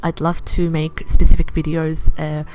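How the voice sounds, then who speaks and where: A woman from Iraq narrating her vlog in a chatty, enthusiastic way, pausing often with "uh". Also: low-pitched, completely flat delivery, mellow. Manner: chatty, enthusiastic, with frequent "uh" pauses. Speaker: vlogger.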